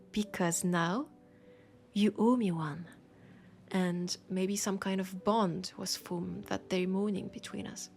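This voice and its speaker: Woman acting as a french accented bookshop lady, soft n teasing, kinda whispery, cozy audio roleplay, bit of music under it. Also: mid-range voice, fairly even intonation, takes it slowly, vocal fry. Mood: musing, playful. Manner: soft, playful, often dropping to whispers. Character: teasing, warm young-sounding human bookshop keeper, chatting to vampire. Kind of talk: intimate first-person audio roleplay.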